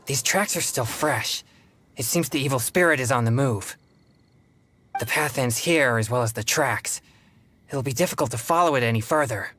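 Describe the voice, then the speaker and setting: A man's voice: medium pitch, a bit bright, a little hiss or hum, lightly acted. Earnest young male exorcist played by a man. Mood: serious, focused. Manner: earnest, formal, measured. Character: earnest, polite teenage boy exorcist. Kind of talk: video game voice acting.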